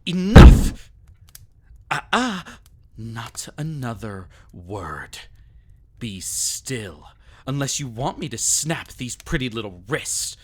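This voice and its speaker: A man's voice: mid-range voice, sing-song, takes it slowly, darkish tone, breathy edge, full character voice. A man playing a sadistic, possessive vampire in intimate ASMR roleplay, theatrical and taunting, often dropping into a whisper. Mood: angry, threatening. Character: sadistic, possessive male vampire toying with a captive.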